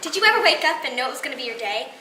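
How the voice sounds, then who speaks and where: A young teenage girl performing a stage monologue as an excitable, hopeful young woman, chatty and dramatic. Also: high voice, very fast. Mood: excited, hopeful. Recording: roomy, distant, noisy live recording.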